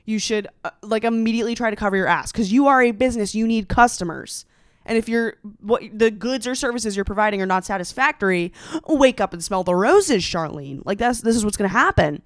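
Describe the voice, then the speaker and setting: A woman's voice: medium pitch, animated, a little fast, dry recording, clean studio sound. A young American woman, a comedian, telling a story on a podcast in a casual, slangy, sarcastic way with dramatic emphasis.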